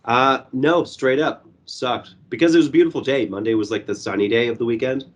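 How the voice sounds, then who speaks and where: A young man reviewing a movie in casual podcast chat. His voice is hoarse and going, and he rambles with lots of fillers and swearing. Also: mid-range voice, fairly quick.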